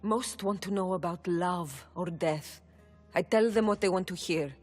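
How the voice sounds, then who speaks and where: An actress playing a frightened oracle in a video game, urgent and pleading. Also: female voice, lowish voice, measured pace, darkish tone, faint music behind. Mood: confiding. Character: Pythia, frightened woman oracle under threat.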